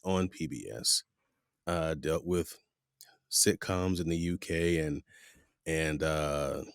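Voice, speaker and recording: A middle-aged man from the United States hosting a podcast, telling stories in a rambling, animated way. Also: rumbling low, takes it slowly, creaky, smooth, dry recording, studio quality. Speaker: podcast host. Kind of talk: casual interview chat.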